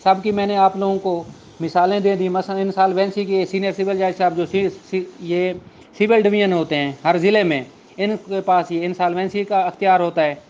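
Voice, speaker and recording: A man's voice: high voice, fairly even intonation, normal speed, a little mellow, a little hiss or hum. A man with a Pakistani accent lecturing on law in a didactic, explanatory way, mixing in English terms. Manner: didactic, switching between Urdu and English legal terms. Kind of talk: explanatory lecture, reading statute aloud and explaining it. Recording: dull lo-fi recording.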